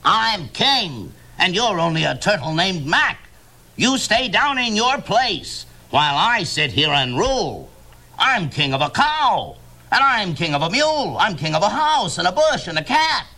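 A man's voice: high-pitched, very animated, unhurried, bright, forceful tone, heavily acted. Yertle, a man playing a pompous turtle king. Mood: blustering commands. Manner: pompous, bellowing. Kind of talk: storybook reading.

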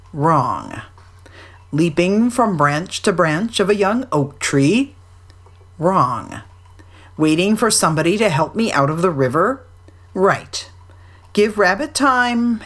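A gloomy old-fashioned storybook donkey, played by a woman, dignified and dryly sarcastic. The voice is low and very slow, drawing out words in a resigned deadpan. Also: full character voice. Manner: slow, deadpan, drawn-out delivery. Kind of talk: children's storybook reading.

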